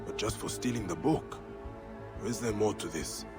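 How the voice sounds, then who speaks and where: Man playing a grave, low-voiced ancient warrior over music. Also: lightly acted. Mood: brooding. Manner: measured, solemn, clipped phrases. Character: grave, resolute protector warrior of ancient Egypt, male. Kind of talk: video game cutscene acting. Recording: game music behind the voice.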